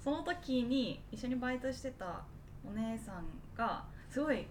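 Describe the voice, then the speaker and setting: Female podcast co-host, slightly high pitch, confessional anecdotes told casually, recorded a bit off the mic. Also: lively intonation, normal speed, distant mic, slight background noise. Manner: self-deprecating, confessional. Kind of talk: casual chat, telling personal anecdotes.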